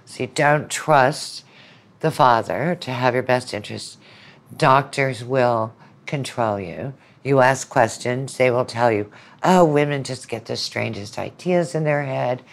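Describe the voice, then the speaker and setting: A woman's voice: rumbling low, unhurried, gravelly. Woman, middle-aged, academic guest, conversational explanation, analytical, opinionated, anecdotal. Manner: opinionated, analytical, quotes imagined speakers. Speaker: guest, university lecturer.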